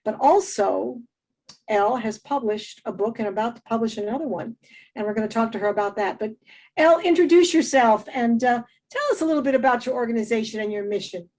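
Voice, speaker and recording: A woman's voice: a little high, a little fast. Effusive woman hosting a radio interview. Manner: warm, effusive, with many fillers and restarts. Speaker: host.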